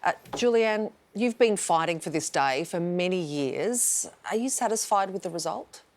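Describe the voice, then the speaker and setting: A woman with an Australian accent presenting a TV news show in a measured, composed way. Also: fairly low pitch, lively intonation, darkish tone, a little hiss or hum.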